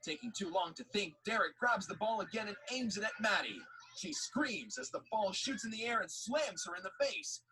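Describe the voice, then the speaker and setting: A man's voice: sits high, expressive, moderate pace, bright, forceful tone. A man reading scripted story narration for an audio drama. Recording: faint background noise.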